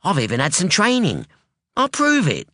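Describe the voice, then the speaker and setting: A man voicing a sharp, defiant young woman who talks bluntly and insistently, in an audiobook. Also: high voice, huge pitch swings, moderate pace, no room sound, studio quality, pitched above the performer's own voice, heavily acted. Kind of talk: audiobook dialogue.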